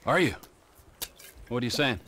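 An actor playing a violent, unpredictable middle-aged criminal in a video game, lurching between mocking whispers and screamed rage. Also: male voice, medium pitch, expressive, normal speed, pushed and bright. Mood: mocking menace. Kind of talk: video game cutscene acting.

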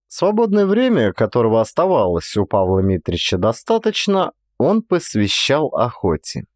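A man reading literary prose as an audiobook narrator, in an expressive, actorly storytelling style. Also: medium pitch, no echo, clean studio sound.

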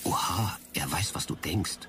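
An actor playing a dry, sardonic personal assistant program in a sci-fi audio drama. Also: male voice, deep voice, measured pace, recorded from a distance, over music. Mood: wry. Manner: deadpan, wry.

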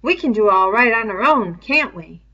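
A woman's voice: on the high side, expressive, moderate pace, sharp tone, pitched above the performer's own voice, full character voice. A woman voicing an anxious, eager schoolboy in a storybook reading, with ungrammatical, pleading speech, on a dull lo-fi recording. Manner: ungrammatical, pleading tag questions.

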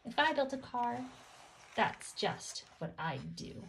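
A woman's voice: fairly high pitch, big pitch swings, slow, creaky, a bit bright, a little hiss or hum, poor audio. A woman host reads a children's picture book aloud, following the rhyme with rhythmic, emphatic phrasing. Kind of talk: expressive rhyming storybook read-aloud for children.

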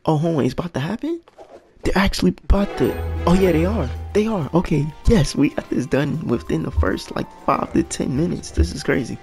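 A man casually commenting on his game, thinking out loud and getting excited as things happen, with a raspy voice. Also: a little high, brisk, music in the background. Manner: thinks aloud, excited and unsure. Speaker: gaming channel host.

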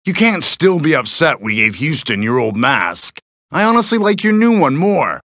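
An actor playing a casual, cajoling heist gang member in a video game. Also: male voice, a little high, sing-song, normal speed, fairly bright tone, no room sound, studio quality. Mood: placating.

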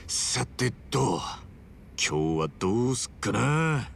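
A man's voice: mid-range voice, sing-song, slow pace, creaky, slight background noise, exaggerated voice. An actor playing a brash, good-hearted middle-aged hero in a video game, blunt and colloquial in his talk. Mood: earnest, pensive. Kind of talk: Japanese video game dub acting.

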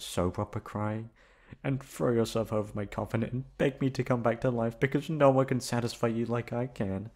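Man acting as a low-voiced, playful college guy. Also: a little mellow. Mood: playful, teasing. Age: young adult.

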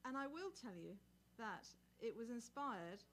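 A woman's voice: a little high, expressive, unhurried, a little hiss or hum, poor audio. Middle-aged woman chatting casually from a stage. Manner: informal, conversational. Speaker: singer-songwriter. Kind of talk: casual introduction of a song to a small audience. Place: small cluttered bar stage, indoors. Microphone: microphone on a stand.

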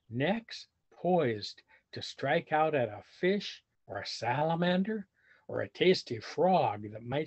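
Husky man reading slowly, very animated. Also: a little high. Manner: deliberate, explains words and pictures simply. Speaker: storybook reader for children. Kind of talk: expressive read-aloud with asides to young listeners. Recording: dull lo-fi video call audio.

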